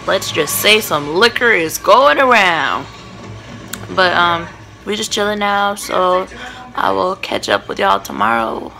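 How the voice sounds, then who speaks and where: Young woman, hoarse voice, quiet tired chat, phone held at arm's length. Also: mid-range voice, big pitch swings, measured pace, soft background music. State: hoarse, voice nearly gone. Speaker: vlogger. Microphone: phone camera held at arm's length.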